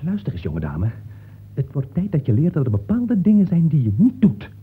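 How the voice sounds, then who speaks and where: An actor playing a flustered, irritable married novelist in a radio play, exasperated, stammering and pleading. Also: male voice, on the high side, huge pitch swings, normal speed, breathy edge, heavily acted. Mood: angry, exasperated. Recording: tinny, noisy old radio recording.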